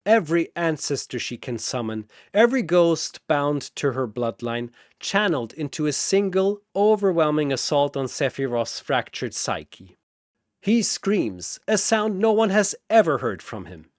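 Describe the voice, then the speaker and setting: A man's voice: on the high side, sing-song, unhurried, dry recording, studio quality. A man narrating a scripted fantasy battle theatrically, often in short, clipped phrases.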